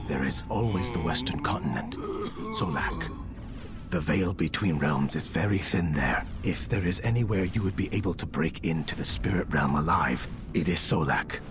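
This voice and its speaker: Super deep grave game sage, solemn, lots of rise and fall, loud music. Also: male voice. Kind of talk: video game cutscene acting.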